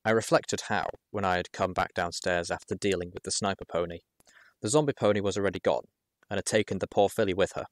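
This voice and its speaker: A man reading a first-person adventure story aloud in a measured, expressive storytelling manner. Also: low-pitched, dry recording, clean studio sound. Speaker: audiobook reader.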